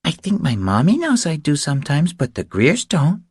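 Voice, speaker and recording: A man's voice: on the high side, wildly swooping pitch, normal speed, darkish tone, husky, dry recording, clean studio sound, voice pushed up, heavily acted. A man voicing a little girl who is solemn, confident and a bit bossy in an audiobook story. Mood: solemn, instructive. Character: young confident little girl instructing about horses.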